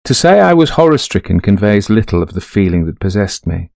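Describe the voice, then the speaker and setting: An actor playing a middle-aged, greying widower in a ghost story, confiding an eerie haunting to a friend in genteel, old-fashioned phrasing. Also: male voice, fairly low pitch, sing-song, a little fast, creaky, darkish tone, no echo, clean studio sound. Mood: rueful, horror-stricken. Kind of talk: dramatised first-person ghost story narration.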